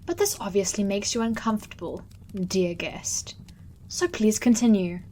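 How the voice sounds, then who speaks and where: A cold, imperious immortal being, played by a woman, in a fantasy audio drama, scornful of dull mortals. She is pitched at an ordinary height and speaks over a faint hiss. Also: lively intonation, moderate pace, a little mellow, lightly acted. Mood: disdainful. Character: haughty immortal female being, disdainful of mortals.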